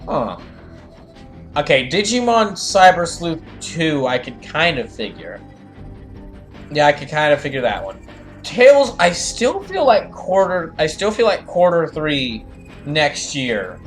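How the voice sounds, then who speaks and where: Higher-voiced man rambling on a stream. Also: sing-song, takes it slowly. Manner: rambling, repeats phrases as thoughts form. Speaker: streamer doing live fandub of a game and reading chat. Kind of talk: casual gaming livestream chat and commentary. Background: game music.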